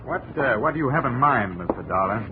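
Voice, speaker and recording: Pompous husband with widely swinging pitch man acting. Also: expressive, fairly quick, natural voice. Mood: stern, defiant. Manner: pompous, formal. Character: pompous, blustering husband, stiffly defiant. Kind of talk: 1950s radio drama acting. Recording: faintly noisy, tinny old radio recording.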